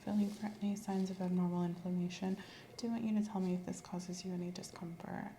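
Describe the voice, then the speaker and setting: A woman doing an ASMR role-play as a medical examiner, giving slow, gentle instructions that sometimes drop to a whisper. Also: on the low side, flat delivery, roomy sound, noisy background. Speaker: ASMR creator playing an examiner.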